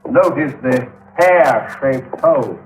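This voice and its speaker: An actor playing a vain, overbearing radio actor who speaks in a grandiose, theatrical, scolding way, in a 1950s radio drama. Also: male voice, medium pitch, expressive, slow, reverberant, rough recording. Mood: haughty. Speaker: actor in the broadcast.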